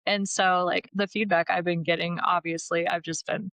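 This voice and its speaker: A woman co-hosting a podcast, with a deeper voice, chatting casually and thinking aloud as she goes. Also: level tone, moderate pace, a bit bright, dry recording, poor audio. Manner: rambling, thinks aloud with restarts. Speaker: podcast co-host. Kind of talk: casual chat.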